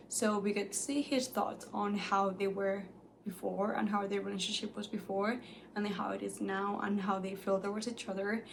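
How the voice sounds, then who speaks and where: A young woman whose first language is Spanish, chatting casually about books she has read, animated and full of "like"s. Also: mid-range voice, creaky, a little hiss or hum. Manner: chatty and animated, many "like"s, light laughs. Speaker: book reviewer. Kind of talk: casual chat to camera.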